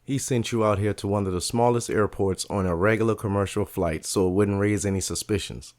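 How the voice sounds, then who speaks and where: A man's voice: on the low side, a little fast, a little mellow, no echo, studio quality, natural voice. A man voicing a determined young adult man who speaks in a direct, questioning way. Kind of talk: audio drama storytelling.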